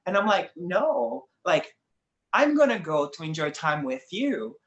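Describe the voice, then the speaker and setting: A man's voice: sits high, very animated, unhurried, a bit bright, echoey room, lo-fi sound. A young man from Brazil with a Brazilian accent, a guest speaking in an earnest, reflective conversational way and laughing easily. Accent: Brazilian Portuguese. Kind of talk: casual conversation.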